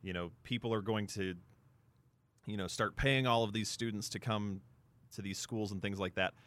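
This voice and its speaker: A man's voice: on the low side, fairly even intonation, normal speed, fairly bright tone, dry recording. A man co-hosting a sports talk show, explaining things point by point in a discussion. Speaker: sports radio show co-host.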